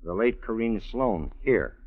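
Probing low-voiced newspaper reporter. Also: male voice, animated, unhurried. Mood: inquisitive. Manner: probing, questioning. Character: inquisitive male newspaper reporter questioning police. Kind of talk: 1940s radio drama acting. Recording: muffled, tinny vintage radio recording.

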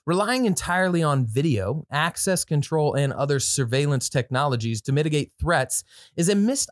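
A man's voice: mid-range voice, dry recording, studio quality. A man hosting a podcast, giving casual product commentary with informal, slangy asides and some reading from notes. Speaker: podcast host.

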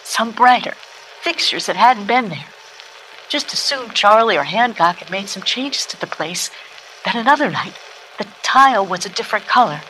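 Noir lounge singer, bright voice, intimate n dramatic, radio play, faint noise on the tape. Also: female voice, medium pitch, lively intonation, normal speed, low-quality recording. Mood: uneasy, fearful. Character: sultry, frightened female lounge singer confiding in a detective. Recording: recorded tape message with background noise.

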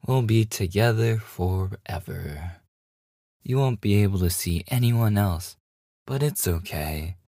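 A man plays a devoted boyfriend with a hidden obsessive streak in an ASMR roleplay. His voice is low and very close and dry. He talks slowly and intimately, with hesitant stammers. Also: warm tone, no room sound. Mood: possessive. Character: devoted, nervously joking boyfriend hiding obsessive yandere streak. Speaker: voice actor.

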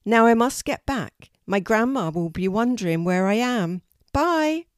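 Woman voicing a gentle, helpful young storybook girl. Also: a little high, animated, a little slow, clear, resonant tone, dry recording, studio quality, voice pushed up. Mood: cheerful. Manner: gentle, reassuring. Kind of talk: children's storybook character voice.

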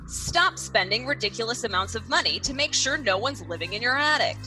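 A woman voicing an upbeat commercial announcer pitching a service. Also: lively intonation, a little fast, sharp tone, soft background music, poor audio. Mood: peppy. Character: bright, peppy female ghost-removal ad announcer.

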